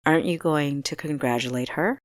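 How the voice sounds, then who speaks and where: A woman voicing a stern seventeen-year-old male ruler in an audiobook, sharp, grave and authoritative. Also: low-pitched, fairly quick, no room sound, studio quality, close to an everyday voice.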